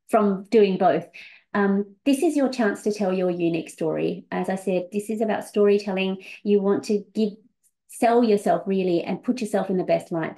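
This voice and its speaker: Woman, forties, webinar host, gravelly edge, lots of ums, video call. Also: mid-range voice, warm tone. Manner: many um fillers, earnest. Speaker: visa coach and webinar host. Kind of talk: webinar presentation.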